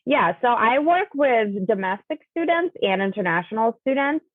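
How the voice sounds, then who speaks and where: A woman whose first language is Russian, speaking as a guest in an interview, measured and full of fillers and restarts. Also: on the high side, expressive, no echo. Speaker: guest, college admissions coach. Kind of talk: conversational interview. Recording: tinny phone-like line, dry.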